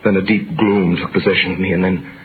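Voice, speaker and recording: A man's voice: low voice, little pitch movement, a little fast, close to an everyday voice. A man playing a wrongly imprisoned young sailor, desperate and brooding, in intense vintage radio drama style. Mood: brooding despair. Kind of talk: 1930s-40s radio drama acting and narration. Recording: noisy, muffled vintage radio recording.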